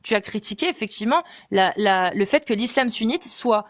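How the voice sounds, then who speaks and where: A woman's voice: mid-range voice, expressive, fairly quick. A woman hosting a live stream debate, pressing her guest with pointed questions. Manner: probing, insistent questioning. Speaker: host.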